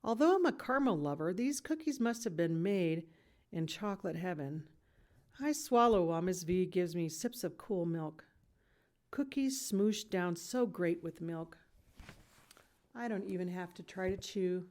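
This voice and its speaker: A woman reading a children's novel aloud in expressive storybook narration. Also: lowish voice, a little slow.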